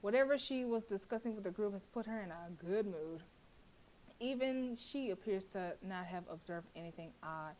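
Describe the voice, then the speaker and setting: A woman live-streaming a horror visual novel, narrating its lines with expressive feeling. She breaks off to react, laugh and curse at what happens on screen. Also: medium pitch, moderate pace, slight background noise, poor audio.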